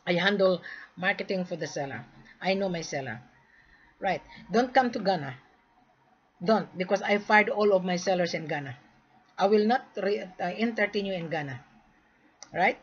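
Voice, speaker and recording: A woman from the Philippines making a repetitive, insistent sales pitch, lecturing the listener in a direct-to-camera talk. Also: normal speed, pauses often, husky. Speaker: gold sales marketer. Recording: dull lo-fi recording.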